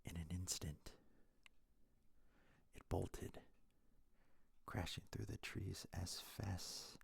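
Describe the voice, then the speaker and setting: A man softly telling a scary story in ASMR style, breathy and hushed, in short deliberate phrases close to the mic. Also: low voice, fairly even intonation. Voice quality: breathy, whispery, husky. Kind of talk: ASMR soft-spoken scary story narration. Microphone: close mic, dry.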